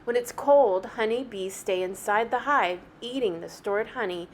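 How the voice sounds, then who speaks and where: A woman reading a picture book aloud like a teacher, with animated, deliberate phrasing meant for young children. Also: mid-range voice, slight background noise. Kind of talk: expressive children's story read-aloud.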